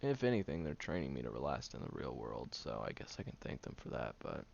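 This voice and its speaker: Man acting a guarded young male loner, very low creaky voice, sardonic, hesitant, intimate audio roleplay, muffled hissy recording. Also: very animated, a little fast, heavily acted. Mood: bitter, defensive. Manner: sardonic, hesitant, sighing, often dropping to breathy murmurs. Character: prickly young male loner, guarded, grudgingly caring friend. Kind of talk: audio roleplay, intimate one-sided dialogue. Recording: muffled, lo-fi, noticeable background hiss.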